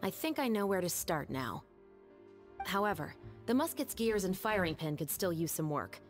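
An actress voicing a cold, dignified female musketeer who gives calm, firm instructions. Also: lowish voice, normal speed, faint music behind, close to an everyday voice. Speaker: weapons instructor in a game cutscene.

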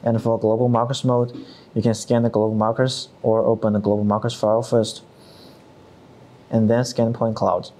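A man in his thirties narrating a scripted software tutorial step by step, with non-native English phrasing. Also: fairly low pitch, level tone, normal speed. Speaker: tutorial presenter.

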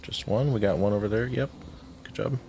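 A man leading a game raid on stream, calmly calling out mechanics and giving instructions. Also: on the low side, a little fast, faint music behind. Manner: calm, instructive callouts with short laughs.

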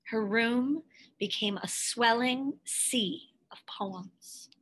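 Female storybook reader, higher-pitched, very measured pace, careful and expressive delivery of scripted narration. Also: breathy edge, low-quality recording. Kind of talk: scripted picture-book read-aloud.

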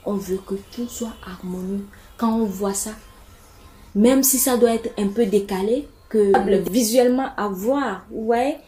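A woman's voice: a little high, a little slow, dark tone, slight background noise. A woman giving casual, repetitive home decorating advice to her viewers, with many fillers and restarts. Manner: chatty, repeats words, many fillers.

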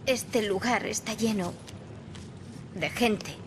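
A woman's voice: mid-range voice, slow pace, noisy background, natural voice. A woman playing a sarcastic, guarded and defiant teenage girl in a video game, speaking Castilian Spanish. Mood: hushed, frustrated. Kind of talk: video game cutscene acting. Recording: prominent music under the voice.

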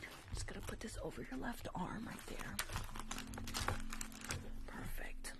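A young adult woman doing a soothing ASMR nurse roleplay in a breathy whisper, close to the mic, with short reassuring phrases. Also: mid-range voice, animated, unhurried. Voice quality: breathy whisper with some creak. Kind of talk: whispered ASMR medical roleplay, live stream. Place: small room at home, indoors. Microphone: close microphone with fluffy windscreen.

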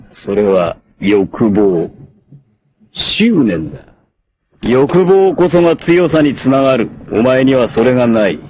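Haughty, theatrical anime villain warlord. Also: male voice, medium pitch, a little mellow, muffled recording, a little hiss or hum. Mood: cold menace. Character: arrogant, obsessive male villain warlord, cruel and lovesick. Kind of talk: dramatic 1980s anime voice acting.

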